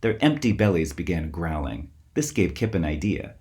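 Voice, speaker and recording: A man reading a children's story aloud as its author, with expressive, theatrical emphasis. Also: deep voice, normal speed. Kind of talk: scripted storybook narration for children.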